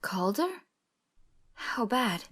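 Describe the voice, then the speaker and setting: A woman's voice: mid-range voice, animated, drawn-out words, heavily acted. A woman playing a stubborn, vengeful young female fighter in an audio drama. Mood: wary. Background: loud background music.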